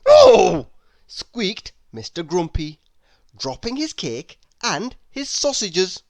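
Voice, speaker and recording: A woman reading a children's storybook aloud as an animated narrator. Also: on the low side, slow. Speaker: storybook reader.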